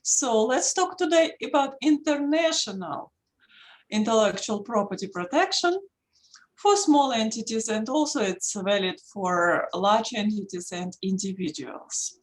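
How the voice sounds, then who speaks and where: A woman with a Russian accent, a native Russian speaker, giving an earnest presentation as a patent attorney over a video call, pausing often with fillers. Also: a little high, expressive, low-quality recording. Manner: earnest, emphatic, pauses with many fillers. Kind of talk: online presentation.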